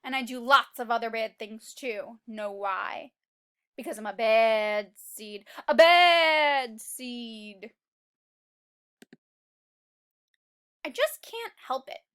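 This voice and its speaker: A woman's voice: on the high side, slow pace, pushed and bright, clean tone, voice pushed down. Woman voicing a blunt, grumpy storybook bad seed. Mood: resigned, emphatic. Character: grumpy, boastful bad sunflower seed, male. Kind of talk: storybook read-aloud.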